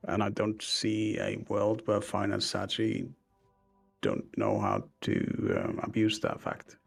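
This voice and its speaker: Gravelly Norwegian-accented man casting. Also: lowish voice, very animated, slow pace, faint music behind. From Norway. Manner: hesitant, dry humor. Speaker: esports co-caster. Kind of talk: casual esports commentary.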